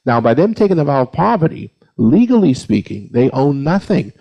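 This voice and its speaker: A man's voice: on the high side, big pitch swings, normal speed, gravelly, muffled recording. A man speaking as a priest on a panel, giving an informal, plain-spoken explanation point by point. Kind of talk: informal explanatory answer to a question.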